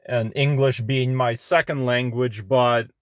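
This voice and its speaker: Slow, rambling young man. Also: mid-range voice, steady tone, smooth, no echo. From Belarus. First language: Russian. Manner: rambling, many fillers and restarts. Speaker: vlogger. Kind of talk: casual talk to camera. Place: inside a car.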